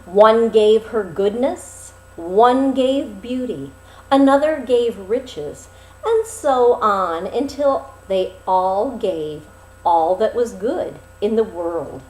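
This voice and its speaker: A woman narrating a fairy tale expressively, with dramatic, deliberate phrasing and pauses. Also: medium pitch, lively intonation, a little mellow. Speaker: storyteller. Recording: roomy, echoing sound.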